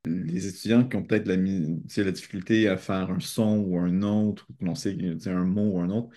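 A man's voice: low-pitched, fairly even intonation, brisk, warm tone. A young man, speaking reflectively as a guest in a casual conversation. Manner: thoughtful, reflective, laughs between thoughts. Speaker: guest, doctoral student.

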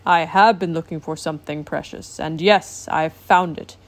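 A commanding sea king with a deep, booming delivery that fills every word, as a woman reading a storybook puts him on. He speaks slowly and with weight, a stern old ruler used to being obeyed. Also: fairly even intonation. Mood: stately. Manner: slow and commanding.

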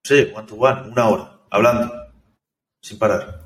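A low-pitched man talks through his experience in a relaxed, halting way, often restarting his sentences. He sounds some distance from the mic, in an echoey room. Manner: thoughtful, hesitant, many restarts and fillers. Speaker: software developer guest. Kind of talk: casual interview conversation.

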